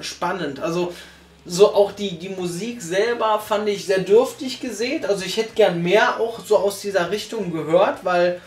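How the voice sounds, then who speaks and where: A young man reviewing an audio drama, speaking casually from a sofa in a small living room, rambling with many fillers and restarts, picked up by a distant camera. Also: high-pitched. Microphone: far camera. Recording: roomy room sound, faint music.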